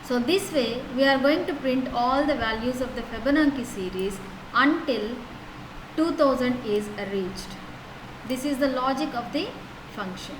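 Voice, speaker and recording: High-voiced woman teaching code, echoey. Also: unhurried. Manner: steady, explanatory, repeats "so" and "now". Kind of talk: lecture walking through code. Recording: echoey room with noise.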